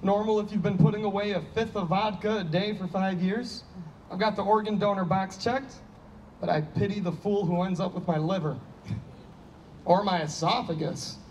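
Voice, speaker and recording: A man's voice: high voice, fairly even intonation, moderate pace, a little mellow, natural voice. A man in his thirties in a staged play reading, playing a troubled, apologetic, self-doubting heavy drinker trying to stay sober. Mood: wry, apologetic. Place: stage before a painted block wall. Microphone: handheld microphone. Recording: distant stage pickup with ambient noise.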